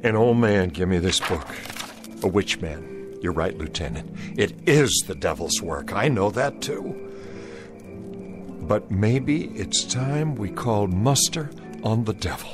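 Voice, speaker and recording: A grave soldier. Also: male voice, on the low side, animated, takes it slowly. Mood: desperate. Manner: grave, earnest, pleading. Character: troubled Confederate soldier Joe Paradine, grave man. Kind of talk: old-time radio drama acting. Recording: old radio recording with music.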